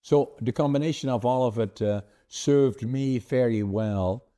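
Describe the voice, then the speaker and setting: A man's voice: mid-range voice, dark tone. An older man from the Netherlands with a Dutch accent, English not his first language, telling his life story earnestly as a podcast host over a video call. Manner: earnest, deliberate, gestures with his hands. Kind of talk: conversational storytelling. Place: home office with framed certificates.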